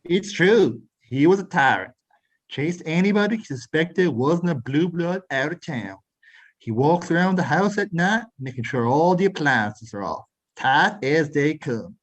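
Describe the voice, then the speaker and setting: A man's voice: a little high, lively intonation, a little slow, rough recording. A man playing an old, grumbling ghost grandfather in an amateur radio drama.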